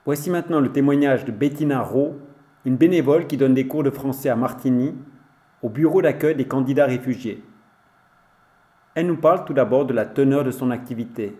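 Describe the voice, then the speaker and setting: A man presenting a report and asking interview questions in a calm, measured way. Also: medium pitch, roomy sound. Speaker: radio reporter.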